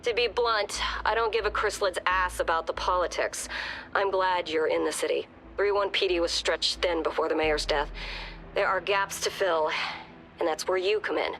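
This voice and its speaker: An actress playing a blunt, no-nonsense police commissioner in a video game. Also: female voice, on the low side, a bit bright, a little hiss or hum, low-quality recording.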